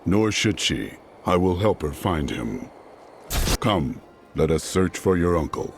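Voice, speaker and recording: An actor playing a grim, stern male barbarian warrior in a video game, with a deep, gravelly voice and slow, solemn delivery. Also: sing-song, noisy background, heavily acted. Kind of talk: video game cutscene voice acting.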